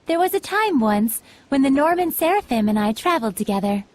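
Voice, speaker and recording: A woman playing a gentle, courteous female spirit companion in an animated game, polite, formal and earnest. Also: high-pitched, brisk. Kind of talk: animated game cutscene acting.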